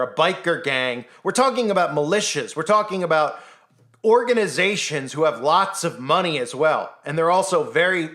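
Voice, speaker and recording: A man giving a solo commentary monologue, animated and skeptical, piling up rhetorical questions. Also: a little high. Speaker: commentary channel host.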